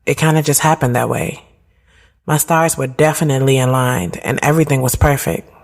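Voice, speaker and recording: A woman's voice: very deep voice, steady tone, brisk, gravelly, exaggerated voice. A woman playing a reflective, conflicted thirty-year-old who narrates her own audio drama in a candid, slangy, conversational way. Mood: wistful. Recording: music behind the voice. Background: music.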